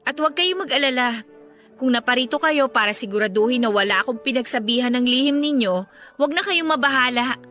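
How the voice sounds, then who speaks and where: An actress with a Filipino accent playing a bitter, defiant young adult daughter in an emotional, accusing radio drama style. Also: female voice, high voice, sharp tone. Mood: cold, bitter.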